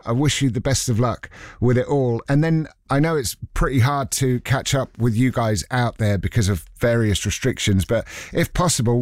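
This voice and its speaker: A man's voice: medium pitch, dry recording. Chatty male radio presenter, dry studio sound. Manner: chatty, matey, joking. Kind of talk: casual, bantering radio interview.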